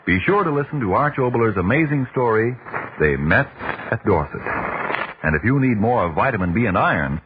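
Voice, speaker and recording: A man's voice: fairly low pitch, very animated, moderate pace, background noise. An American man announcing in emphatic 1940s radio advertising style. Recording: muffled 1940s radio recording, loud music.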